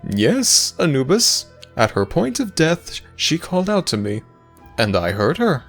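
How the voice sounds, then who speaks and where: A man's voice: fairly high pitch, wildly swooping pitch, measured pace, faint music behind, full character voice. Man playing a smug god, wildly swooping voice. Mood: sly, smug. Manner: formal, sly, theatrical. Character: Osiris, smug, courtly male god. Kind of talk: ASMR audio roleplay acting.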